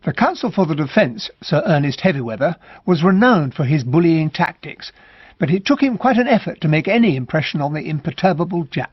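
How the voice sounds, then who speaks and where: An actor playing a gentlemanly narrator in a radio drama, telling of a trial in a wry, confiding way. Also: male voice, on the high side, animated, brisk, husky, no room sound. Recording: dull, dry radio studio recording.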